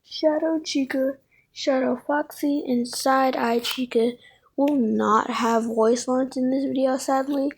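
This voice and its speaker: A woman gives casual commentary as a video creator, in a fairly high voice with a creaky edge. She takes her time, rambling and thinking aloud as she corrects herself. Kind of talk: casual fan commentary on game characters.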